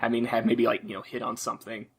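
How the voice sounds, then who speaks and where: A man with a bright, forward voice and a middling pitch talks casually, as on a podcast. He rambles through his thoughts about games, circling back and leaning on "like" as a constant filler. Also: completely flat delivery, fast, gravelly, slightly rough. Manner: rambling, heavy use of "like". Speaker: podcast host.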